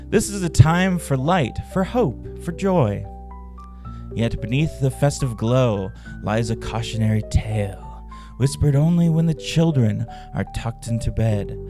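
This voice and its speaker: A man hosting a podcast, reading scripted narration and stories in a measured, dramatic way. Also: medium pitch. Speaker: podcast host and storyteller. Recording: roomy echo, faint music behind. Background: faint background music.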